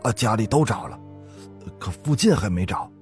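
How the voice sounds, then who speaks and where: Man acting a hesitant, low-voiced butler. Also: lively intonation, moderate pace, a little mellow, faint music behind, natural voice. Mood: nervous, deferential. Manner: hesitant, flustered, stammering. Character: nervous, deferential male household butler. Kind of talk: ghost story character voice.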